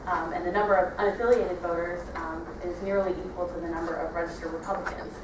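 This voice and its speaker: A young woman with a Wisconsin accent gives a training talk in a noisy, echoey meeting room. She speaks from well away from the mic, in a lowish voice full of "um" and restarts. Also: steady tone, recorded from a distance. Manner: halting, many fillers and restarts. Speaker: party trainer. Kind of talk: training presentation.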